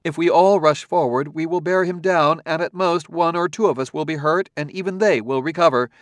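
A man voicing a calm humanoid male robot who speaks crisply with confident command, in an audiobook reading. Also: on the high side, a little fast, dry recording, pitched above the performer's own voice, natural voice. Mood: cool command.

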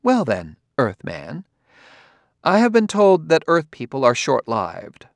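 A man's voice: mid-range voice, huge pitch swings, slow pace, creaky, dry recording, studio quality, pitched above the performer's own voice, heavily acted. A man voicing a haughty, condescending woman scientist in an audiobook, speaking precisely as if lecturing. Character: haughty, cool woman scientist of a spacefaring world.

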